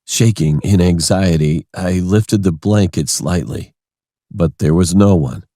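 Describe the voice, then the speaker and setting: A man's voice: sits low, a little slow, mellow, no echo, clean studio sound. A man reading a scripted first-person horror story as its narrator.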